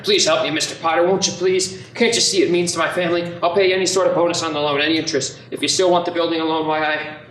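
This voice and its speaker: A man's voice: a little high, little pitch movement, fast talker, a bit bright, natural voice. A man playing a harried, short-tempered family man in a radio play, agitated and urgent. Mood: desperate pleading. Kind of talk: audio-only radio play acting. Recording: echoey room, faint noise.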